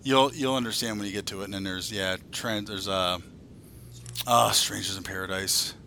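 Dude in his 40s podcasting, rambly n sweary, bright edgy voice, bit of rumble behind him. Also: steady tone, moderate pace, gravelly. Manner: rambling, scattered, heavily sweary. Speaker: podcast co-host. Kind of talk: casual, unscripted chat. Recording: faint rumbling background noise.

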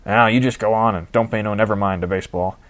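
A male narrator voicing a gruff, ornery older man with a folksy way of talking. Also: fairly low pitch, steady tone, fast talker, natural voice. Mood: blustering. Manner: gruff, loud, folksy banter.

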